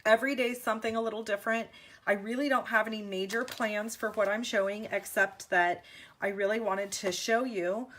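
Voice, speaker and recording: Chatty woman, a bit raspy. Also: medium pitch, fairly even intonation, a little fast, a bit bright, a little hiss or hum. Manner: chatty, many ums, gushing. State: slightly raspy from allergies. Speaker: stamping demonstrator. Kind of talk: casual craft video chat. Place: indoor craft table. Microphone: overhead camera on hands, speaker off-screen.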